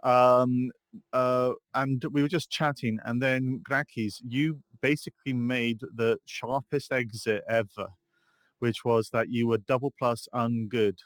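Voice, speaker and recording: A man's voice: mid-range voice, fairly even intonation, unhurried, no echo. A man co-hosting a casual gaming podcast, talking with lots of ums and uhs and laughing easily. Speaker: podcast co-host. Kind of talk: casual chat.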